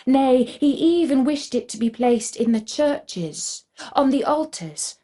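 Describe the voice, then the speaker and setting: Slow, mellow woman telling a fairy tale. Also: sits high, expressive. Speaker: storyteller. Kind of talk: scripted fairy tale narration for children.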